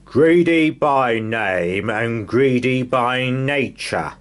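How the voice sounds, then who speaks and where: A man voicing a huge, stern, mocking storybook giant with a thundering, drawn-out bellow. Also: medium pitch, pitched above the performer's own voice.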